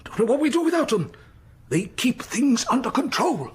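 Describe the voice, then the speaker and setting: Pompous, high-voiced English churchman, swooping wildly. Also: very animated, normal speed, husky, heavily acted. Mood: blustering, dismissive. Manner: blustering, patronising. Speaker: actor in a radio play. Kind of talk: radio drama acting.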